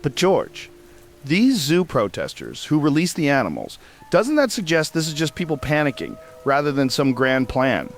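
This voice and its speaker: A man playing an excitable talk radio host in an audio drama. His voice sits a little high for a man, informal and punchy, over faint music. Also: moderate pace. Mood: excited, questioning.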